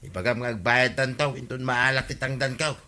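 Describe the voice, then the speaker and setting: Man acting a sharp, pushy husband. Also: mid-range voice, fairly even intonation, a little fast, close to an everyday voice. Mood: insistent. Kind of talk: Filipino comedy radio drama acting.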